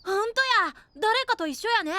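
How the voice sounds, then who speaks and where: Casting: little village kid, extremely high bright voice, western Japanese dialect, eager anime style. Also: female voice, expressive, moderate pace, no echo, heavily acted. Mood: excited. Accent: western Japanese regional dialect. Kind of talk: anime voice drama acting.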